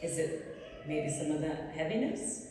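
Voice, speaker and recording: A woman interviewing a musician onstage, asking gentle, reflective questions. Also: low voice, animated, a little slow, recorded from a distance, noisy background. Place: live music venue. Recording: echoey hall heard from afar.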